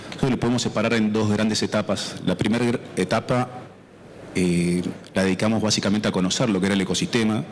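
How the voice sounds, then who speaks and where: An Argentine man speaks on a conference panel in a somewhat low voice. He explains in an orderly, step-by-step way. Faint noise sits under the recording. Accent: Argentine Spanish. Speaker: panelist, farm business member. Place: conference auditorium.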